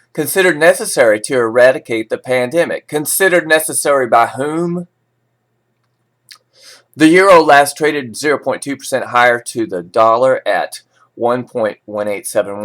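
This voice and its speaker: A man's voice: mid-range voice, a bit bright. Casual, rambling man reading the news. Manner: informal, digressive, opinionated asides. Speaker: vlogger reading forex news with commentary. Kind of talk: casual rambling talk mixed with read-out news.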